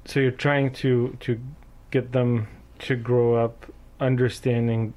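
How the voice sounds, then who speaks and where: A man interviewing guests in a friendly, relaxed way, framing his questions carefully. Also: medium pitch, slow pace. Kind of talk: conversational interview.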